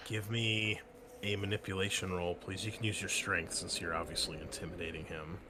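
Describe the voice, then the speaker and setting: A man running a tabletop game as game master, narrating in a casual, halting way full of fillers. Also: sits low, steady tone, background noise.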